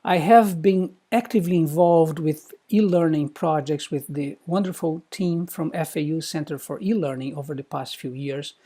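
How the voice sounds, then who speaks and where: Mellow middle-aged man. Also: on the high side. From Brazil. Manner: measured, formal, articulate. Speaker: university professor, guest speaker. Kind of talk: prepared recorded talk.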